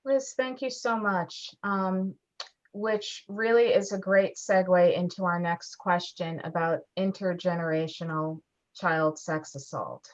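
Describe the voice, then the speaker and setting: Low-voiced woman moderating, slightly dull audio. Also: steady tone, measured pace. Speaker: panel moderator. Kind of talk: moderating a discussion.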